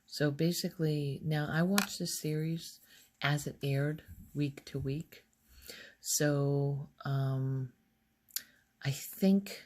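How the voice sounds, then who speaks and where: A soft-spoken woman recording casual ASMR, rambling in a hushed voice and musing aloud as she goes. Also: extremely low pitch. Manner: hesitant, musing aloud, trailing off. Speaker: ASMR video creator.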